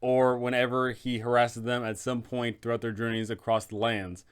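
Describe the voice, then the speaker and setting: A man's voice: mid-range voice, little pitch movement, moderate pace, smooth. A man hosting a game master's guide, narrating in an emphatic, conversational explainer style.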